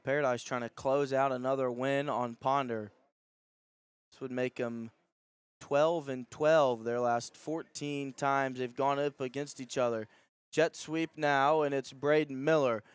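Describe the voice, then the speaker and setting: Man, radio play-by-play, high school football commentary, crisp voice, mid pitch, dry sound. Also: steady tone, unhurried, no echo.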